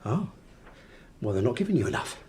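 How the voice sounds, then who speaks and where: A man's voice: medium pitch, sing-song, fairly quick, a little mellow, breathy edge. An actor playing a curt, evasive middle-aged English husband and father in a radio drama, domineering but hesitant when questioned. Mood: irritable, curt. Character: evasive, irritable husband and father hiding guilt.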